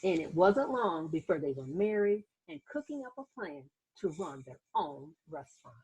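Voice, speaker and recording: A woman reading a children's picture book aloud as host, in an expressive storytelling manner with little asides to young listeners. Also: on the low side, normal speed, breathy edge, muffled recording.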